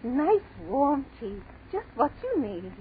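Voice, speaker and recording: A woman's voice: high-pitched, very animated, slow, exaggerated voice. An actress playing an eccentric, reclusive old woman in a 1930s radio horror drama, fussing and repeating herself. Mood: soothing, fussing. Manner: repeats herself, fussing, brushes questions aside. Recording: scratchy, narrow-band 1930s radio recording.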